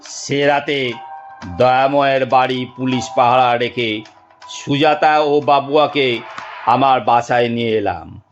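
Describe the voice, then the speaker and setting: A man narrating a dramatic first-person audio story in a deliberate, suspenseful storytelling manner. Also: mid-range voice. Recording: muffled studio narration over music.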